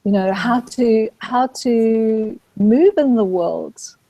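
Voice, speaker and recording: Gentle, reflective woman. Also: fairly high pitch, slow pace, dry recording, low-quality recording. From Zambia. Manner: gentle, searching, with soft restarts. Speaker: guest. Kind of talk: reflective spiritual interview talk.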